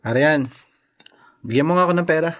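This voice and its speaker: A man's voice: a little high, brisk, highs cut off, natural voice. A man playing an irresponsible, hot-tempered, abusive young husband in a Tagalog radio drama, irritable and bullying. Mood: demanding, annoyed.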